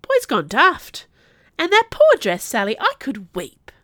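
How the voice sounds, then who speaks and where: A woman's voice: high-pitched, huge pitch swings, measured pace, a bit bright, no room sound, clean studio sound, voice pushed up, exaggerated voice. A woman voicing a gossiping street seller in a storybook, colloquial and full of exclamation. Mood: lamenting.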